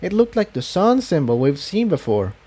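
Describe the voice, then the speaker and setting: A man voicing an earnest, excitable young female pony in a storybook adventure. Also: high voice, moderate pace, breathy edge, voice pushed up, full character voice.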